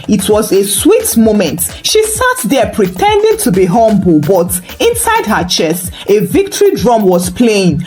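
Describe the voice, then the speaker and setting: Animated woman telling a dramatic folktale. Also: medium pitch. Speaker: storyteller. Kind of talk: expressive scripted folktale narration.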